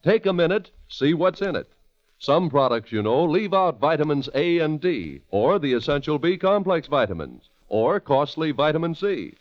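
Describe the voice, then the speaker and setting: Lively insistent radio pitchman. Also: moderate pace. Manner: punchy, emphatic. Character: insistent male vitamin pitchman buttonholing a shopper. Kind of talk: 1940s radio commercial acting. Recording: dull vintage radio broadcast.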